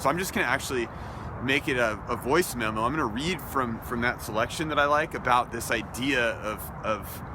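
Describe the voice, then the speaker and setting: A man's voice: mid-range voice, fairly quick, background noise. A man recording a personal voice memo, reflecting and reading aloud in a thoughtful way. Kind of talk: casual reflection, then reading an essay aloud.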